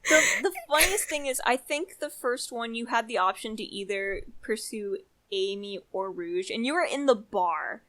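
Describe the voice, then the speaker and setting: A young woman co-hosting a casual chat, retelling things in an animated way with lots of "like" and quoted reactions. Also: a little high, normal speed, few pauses, poor audio. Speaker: podcast co-host.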